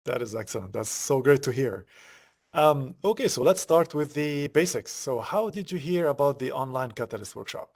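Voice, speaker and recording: Male interviewer, mid pitch, gravelly creak, halting delivery, conversational hosting. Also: animated, darkish tone. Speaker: interview host.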